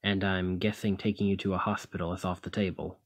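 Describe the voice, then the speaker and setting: Man acting out gruff demigod hero, very low voice, husky, bossy but caring, ASMR roleplay. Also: level tone, fairly quick. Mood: firm, caring.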